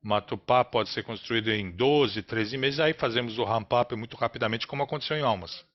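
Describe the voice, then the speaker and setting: A man with a Brazilian accent interprets a business earnings call live, in a halting, phrase-by-phrase delivery. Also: mid-range voice. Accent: Brazilian Portuguese. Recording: dull, lo-fi conference call audio.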